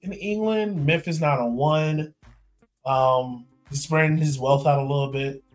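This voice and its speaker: An American man with a slightly high voice talks sports as a podcast co-host. His delivery is casual and conversational, as if working out each thought while he speaks. Faint music plays underneath. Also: unhurried.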